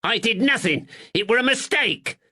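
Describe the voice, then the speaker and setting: Jittery shopkeeper in a storybook, stammering, pitched high and whiny, voiced by a male narrator. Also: expressive, moderate pace, sharp tone, full character voice. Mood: plaintive. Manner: stammering, plaintive, rising to shrill. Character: nervous weaselly middle-aged male draper.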